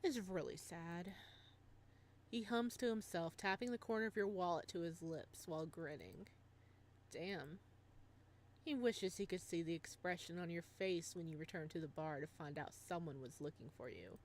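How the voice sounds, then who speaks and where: A woman's voice: fairly low pitch, moderate pace. A woman playing a game on her channel, reading its story aloud as dramatic narration mixed with casual commentary.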